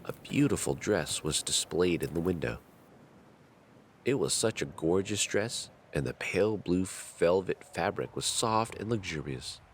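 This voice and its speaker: Male storybook narrator: deep voice, dramatic and highly expressive intonation, scripted fairy tale delivery. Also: a little slow, a little hiss or hum.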